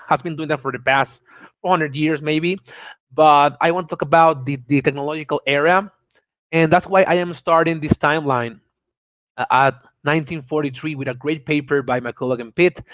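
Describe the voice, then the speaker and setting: A man in his thirties with a Venezuelan Spanish accent presents on a video call in an eager, stumbling way. He repeats words, restarts and fills gaps with many uhs. His voice sits somewhat high, and the recording sounds dry and close. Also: fairly bright tone, no room sound, low-quality recording. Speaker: conference speaker. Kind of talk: online presentation.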